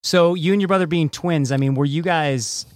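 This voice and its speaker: A man's voice: fairly high pitch, normal speed, no room sound. Male podcast host in a very dry recording. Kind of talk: scripted introduction and casual interview.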